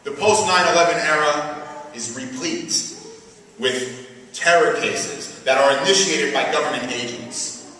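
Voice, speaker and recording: A man in his thirties reading a prepared speech at a podium in a mosque prayer hall, in a measured, deliberate way. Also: fairly high pitch, bright, forceful tone. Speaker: speaker at a community event. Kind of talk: read speech. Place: mosque prayer hall, indoors, medium-sized. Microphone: podium mic filmed by a far camera. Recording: echoey hall, voice heard from far off.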